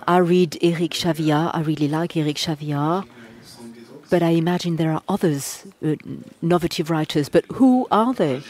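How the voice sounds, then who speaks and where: A conference interpreter giving a simultaneous rendering of audience remarks, pausing often with fillers and restarting phrases. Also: mid-range voice, a little mellow. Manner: hesitant, frequent uh fillers and restarts.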